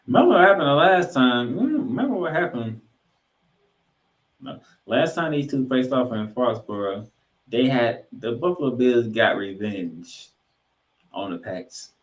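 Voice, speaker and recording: A man's voice: medium pitch, animated, unhurried. A man co-hosting a sports podcast, previewing games emphatically, posing questions and repeating phrases for effect. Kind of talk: sports talk, game preview banter. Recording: roomy, echoing sound.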